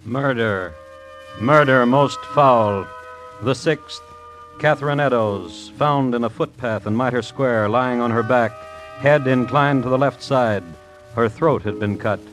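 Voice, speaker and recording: A man's voice: mid-range voice, slow pace, a bit bright, low-quality recording. A man playing the grave, melodramatic narrator of an old-time radio murder thriller. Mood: grave, dramatic. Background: music.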